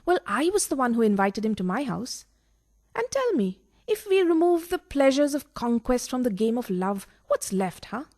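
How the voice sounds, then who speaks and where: An actress playing a coquettish, sharp-tongued, excitable mistress in a farcical radio play. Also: female voice, a little high, moderate pace. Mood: coy, teasing. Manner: dramatic, teasing and scolding.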